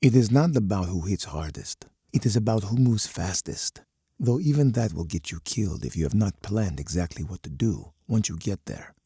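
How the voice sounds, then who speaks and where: Hardened military commander, game character, dark and husky timbre, close dry sound, stern, deliberate, menacing oratory. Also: male voice, lowish voice, lively intonation, no echo, heavily acted. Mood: grave lecturing. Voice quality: gravelly, husky. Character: hard, commanding older male military colonel, menacing strategist. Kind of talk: dramatic scripted game character monologue.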